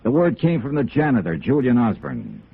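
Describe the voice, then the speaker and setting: A man's voice: medium pitch, wildly swooping pitch, normal speed, gravelly, slightly rough, full character voice. An American man acting a tough, persistent insurance investigator in a 1950s radio drama, with a clipped, insistent delivery. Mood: confrontational. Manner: clipped, insistent, hard-boiled. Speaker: actor in the lead, also narrating. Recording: tinny, noisy old radio recording.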